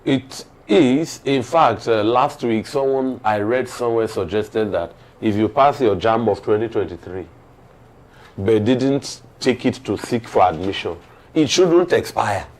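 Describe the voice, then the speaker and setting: Formal, deliberate Nigerian man hosting discussion. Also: fairly low pitch, lively intonation. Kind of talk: measured conversational commentary.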